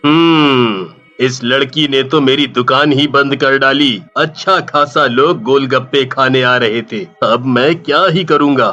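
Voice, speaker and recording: Man playing sharp-voiced, grumbling jealous street vendor. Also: medium pitch, unhurried, few pauses, muffled recording. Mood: bitter grumbling. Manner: grumbling, scheming. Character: jealous, resentful male street-food vendor rival. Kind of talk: cartoon villain monologue.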